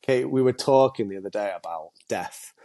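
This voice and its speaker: A middle-aged man, chatting casually as co-host, a bit stuffy from a cold and quick to laugh at himself. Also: fairly low pitch, warm tone. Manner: chatty, self-mocking, laughs often. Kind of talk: casual chat.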